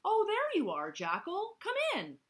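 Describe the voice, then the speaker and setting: A woman's voice: extremely high pitch, wildly swooping pitch, measured pace, a little hiss or hum, pitched above the performer's own voice, full character voice. A woman voicing a cheerful, easygoing zebra who hosts a party and invites everyone. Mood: breezy, friendly. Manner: friendly, breezy, chuckling.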